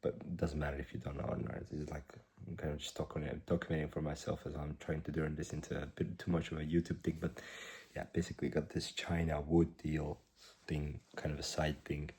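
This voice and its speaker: A man in his twenties, a startup founder, recording a rambling video diary at a hushed volume while slightly sick with a cough. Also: extremely low pitch, level tone, husky. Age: 20s. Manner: rambling, halting, self-correcting; keeps his voice down.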